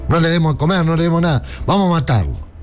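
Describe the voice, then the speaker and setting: A man's voice: on the high side, very animated, quick pace, darkish tone, faint music behind. A man with an Argentine accent giving a sarcastic political monologue, mocking and laughing at his own jokes while talking straight to the listener. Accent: Argentine Rioplatense. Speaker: political commentator.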